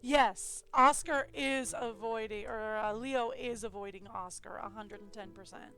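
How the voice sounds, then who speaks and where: High-voiced woman streamer, chatty and sarcastic, soft game music behind. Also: a little slow. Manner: chatty, sarcastic, self-mocking. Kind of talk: live game playthrough commentary.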